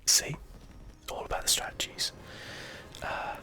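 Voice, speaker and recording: A person whispering ASMR gameplay commentary in a breathy, unvoiced voice, musing and thinking aloud without hurry. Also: measured pace. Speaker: host of a game play channel.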